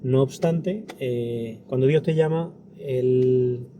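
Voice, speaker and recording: A man's voice: medium pitch, slow pace, highs cut off, a little hiss or hum. A man from Andalusia with a Spanish accent from Spain, answering as a pastor in an interview in an earnest, reflective way.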